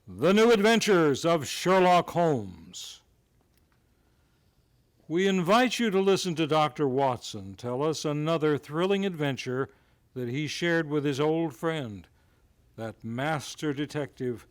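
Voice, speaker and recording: A man's voice: a little high, smooth. A man playing an eager, polite announcer hosting an old-time radio drama. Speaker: announcer and host.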